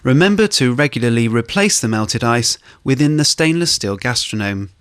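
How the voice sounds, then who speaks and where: A man reading scripted instructional narration for a corporate training film, in a measured way. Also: medium pitch, expressive, a bit bright.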